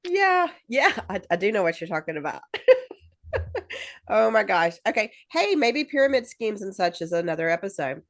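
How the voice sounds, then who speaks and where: A woman's voice: mid-range voice, sing-song, moderate pace. A woman co-hosting a podcast in casual chat, rambling with lots of fillers and restarts and laughing easily. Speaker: podcast co-host.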